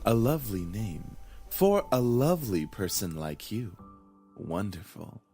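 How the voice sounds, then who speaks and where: A man voicing a smooth, flirtatious male suitor in a low, slow, seductive murmur. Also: sing-song, warm tone, faint music behind.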